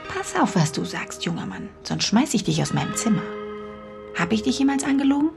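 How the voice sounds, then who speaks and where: A woman's voice: on the high side, sing-song, a little fast, vocal fry, exaggerated voice. An actress playing a patient but firm mother of a young boy in a radio play. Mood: stern. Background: music.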